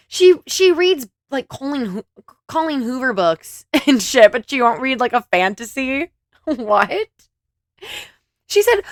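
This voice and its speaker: A woman's voice: fairly high pitch, no echo, studio quality. A woman reacting to clips in a sarcastic, laughing commentary, swearing now and then. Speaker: commentary host.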